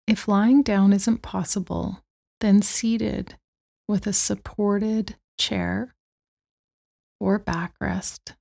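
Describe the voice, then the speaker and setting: A woman leading a guided meditation in a soothing, gentle manner, leaving long pauses between phrases. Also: mid-range voice, gravelly. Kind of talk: guided body-scan meditation.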